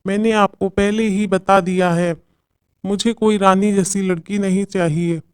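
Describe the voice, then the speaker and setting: A woman's voice: medium pitch, steady tone, unhurried, clear, resonant tone, dry recording, clean studio sound, natural voice. A woman voicing an earnest young husband who reasons and pleads with his mother. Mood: exasperated.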